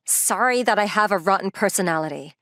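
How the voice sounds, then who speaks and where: A woman's voice: mid-range voice, lively intonation, a little fast, a bit bright, no room sound. A woman playing a confident, teasing young girlfriend in a romcom manga dub, speaking bluntly and making playful demands. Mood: pouty, demanding.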